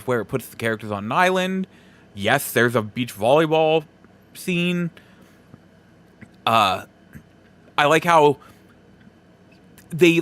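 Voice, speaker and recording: A man with a slightly high-pitched voice talks casually as a solo podcast host. His delivery is slow and halting, broken into short phrases, with emphatic stresses on key words. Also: fairly bright tone. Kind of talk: casual solo opinion talk.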